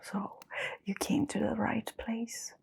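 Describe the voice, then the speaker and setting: Slow whispering woman playing a gentle bookshop keeper. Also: fairly high pitch, exaggerated voice. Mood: welcoming. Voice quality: soft breathy whisper with creak. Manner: unhurried, murmuring, pausing with little hums. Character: Norma, welcoming, gentle keeper of an old mountain bookshop. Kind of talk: whispered ASMR storytelling roleplay.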